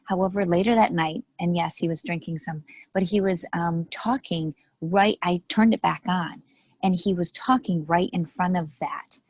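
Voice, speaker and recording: A woman's voice: on the low side, steady tone, moderate pace, darkish tone, no echo. Woman telling a hesitant story, dry sound. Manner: storytelling, hesitant with many ums. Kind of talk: casual chat.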